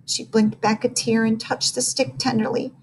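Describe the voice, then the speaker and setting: A woman narrating a children's storybook aloud in an expressive, dramatic way. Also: a little high, moderate pace, a little hiss or hum, rough recording.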